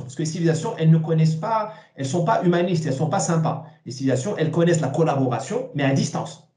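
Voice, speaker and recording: A man, giving emphatic, opinionated interview answers with repeated words and halting starts. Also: a little high, dark tone, echoey room. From Morocco. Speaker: interview guest, essayist.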